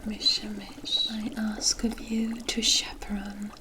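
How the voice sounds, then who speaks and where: A woman voicing a mysterious, wry forest witch in a hushed, deliberate ASMR fantasy roleplay, with cryptic storybook phrasing. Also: medium pitch, completely flat delivery, close to an everyday voice. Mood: incanting, hushed. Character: mysterious forest witch, wry, knowing, offering protection for favors.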